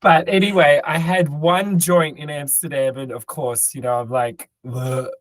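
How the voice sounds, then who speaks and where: A man co-hosting a podcast, speaking in a hoarse, raspy voice he has worn out, rambling and joking with plenty of ums and restarts. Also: fairly high pitch, fairly even intonation, unhurried, no echo. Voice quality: tired, raspy. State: hoarse, lost voice. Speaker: podcast co-host. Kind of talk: casual chat.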